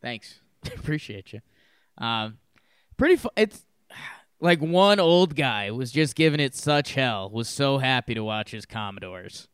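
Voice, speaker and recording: A man chatting casually about football on a podcast, meandering and filler-heavy. His voice is smooth and clear at an average pitch. The sound is close and dry, with no room echo. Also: expressive, unhurried, a bit bright. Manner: rambling, with fillers and laughs. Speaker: podcast co-host. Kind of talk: casual sports chat.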